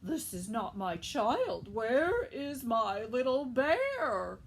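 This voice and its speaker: A woman voicing a big, protective mother bear with loud, gruff exclamations. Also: fairly high pitch, wildly swooping pitch, drawn-out words, exaggerated voice.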